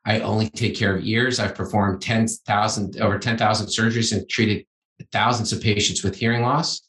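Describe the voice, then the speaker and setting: A man's voice: fairly low pitch, fairly even intonation, moderate pace, a bit bright, reverberant, low-quality recording. A man hosting a podcast interview, chatty and enthusiastic as he introduces his guest. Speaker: podcast host, ear surgeon.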